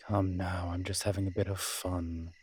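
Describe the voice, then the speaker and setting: A man acting as a wry, flirtatious incubus demon in a roleplay audio drama, teasing and sardonic, with a husky, breathy voice. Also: sits low, fairly bright tone, heavily acted.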